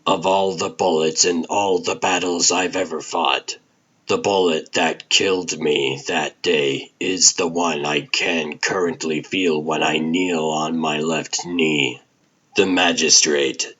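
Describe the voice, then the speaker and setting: A man playing a brooding, world-weary vigilante detective, narrating his own noir story in a grim, terse, deliberate way for a comic dub. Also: very deep voice, fairly even intonation, fairly bright tone, slightly rough, muffled recording, heavily acted. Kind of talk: dramatic first-person noir scripted narration.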